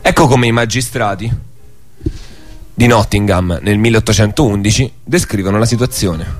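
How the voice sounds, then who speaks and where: A man's voice: fairly low pitch, moderate pace. Male radio narrator over music. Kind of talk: scripted documentary narration. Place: radio studio.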